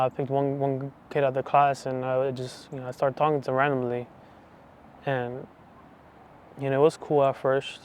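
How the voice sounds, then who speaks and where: A man in his twenties giving a personal faith testimony, talking softly and hesitantly with many restarts. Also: medium pitch, slight background noise. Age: 20s. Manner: soft, hesitant, full of restarts and fillers. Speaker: channel host giving testimony.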